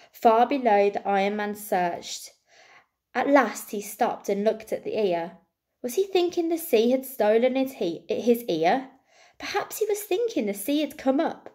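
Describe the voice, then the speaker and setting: A woman reading a storybook to children expressively, like a primary school teacher, with deliberate dramatic pauses and emphasis. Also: medium pitch, darkish tone.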